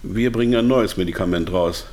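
A man's voice: low voice, brisk, a little mellow, natural voice. A man acting in a German radio drama as an evasive but candid company insider, explaining things in a hedging, hesitant way. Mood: explanatory, hedging.

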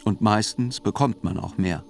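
Radio play chronicler: low, matter-of-fact, deliberate, literary narration over soft music. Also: male voice, gravelly. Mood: sober, measured. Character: sober male court chronicler narrating a terror trial. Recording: music under the voice.

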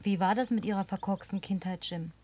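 A woman's voice: medium pitch, fairly even intonation, a little fast, warm tone, close to an everyday voice. A woman acts out a lonely city woman who teases and coaxes with ease. Her voice sits in a middle range for a woman. Faint outdoor hiss and crickets run under the dub. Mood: coaxing. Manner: playful, coaxing, teasing. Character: lonely, wealthy city woman, playful and coaxing. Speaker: Linda, survivor in a German film dub. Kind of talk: German film dub.

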